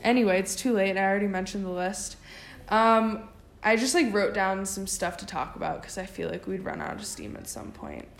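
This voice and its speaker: A woman's voice: medium pitch, very animated, moderate pace, gravelly. Young woman, casual podcast co-host, filler-heavy chat; roomy recording, light hiss. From United States. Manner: chatty, many fillers.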